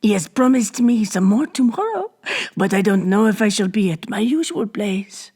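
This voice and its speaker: A woman's voice: medium pitch, lively intonation, normal speed, a little mellow, breathy edge. A woman voicing a coarse, mocking old hag, a grandmother who drives a cart, in a storybook reading. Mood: sly, gloating. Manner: coarse, taunting, jeering.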